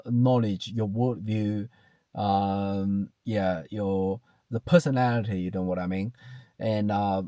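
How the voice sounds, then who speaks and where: A man's voice: fairly low pitch, darkish tone, no echo. Hesitant Chinese-accented man in his thirties. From China. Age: 30s. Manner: hesitant, many fillers and restarts, repeats "you know". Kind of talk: reflective personal talk to camera.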